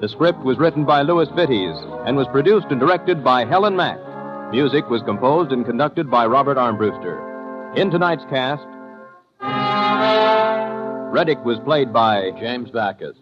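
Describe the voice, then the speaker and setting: A man's voice: mid-range voice, expressive, measured pace. A man working as a radio announcer, reading credits in a formal 1950s broadcast style. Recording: dull 1950 radio broadcast recording. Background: brass orchestral music.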